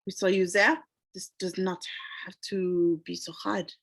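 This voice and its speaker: A menacing female captor with a thick put-on Russian accent, as a woman reads her in a table read. Her voice sits a little lower than usual and sounds oddly distant. Each line is slow and coldly deliberate. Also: little pitch movement, vocal fry. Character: cold female villain, threatening captor. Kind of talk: amateur table read over video call.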